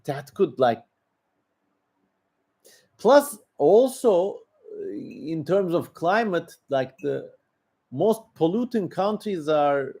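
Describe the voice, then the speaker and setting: A man with a Turkish accent speaks in a somewhat high voice at a very slow pace. He hosts a casual livestream, replying to viewers. His delivery is opinionated and rambling, with emphatic points. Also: darkish tone. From Turkey. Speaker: livestream host. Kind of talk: casual livestream commentary answering viewer questions.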